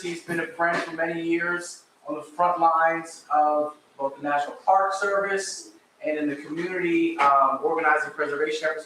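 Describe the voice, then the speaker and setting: Historian guy giving a talk, loud, kinda high voice, really echoey, off mic a bit. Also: a little hiss or hum. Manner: conversational, loud voice, asks the audience questions. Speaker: guest speaker and local historian. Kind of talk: informal history lecture. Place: meeting room with live audience. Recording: very echoey room, distant mic.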